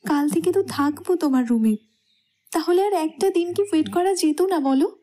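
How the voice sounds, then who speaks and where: A young woman playing a shy, anxious bride who protests gently and hesitantly in a romantic audio story. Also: very high voice, lively intonation, moderate pace. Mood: pleading. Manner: coy, hesitant, softly pouting.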